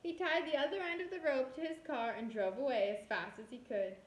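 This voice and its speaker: A woman's voice: high voice, fairly even intonation, a little fast. A woman kindergarten teacher reading a storybook aloud expressively, talking directly to young children. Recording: roomy echo.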